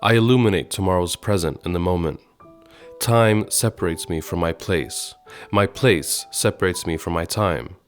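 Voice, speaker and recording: A man from Sweden who grew up in Egypt and Jordan, hosting a podcast with scripted, measured and articulate narration. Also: deep voice, soft background music. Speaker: podcast host, radio journalist.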